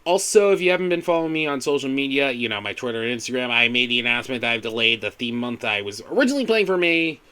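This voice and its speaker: Animated man, bright voice, distant mic. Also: mid-range voice, racing, hardly any pauses. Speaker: host of a video countdown show. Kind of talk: scripted video commentary with jokey asides.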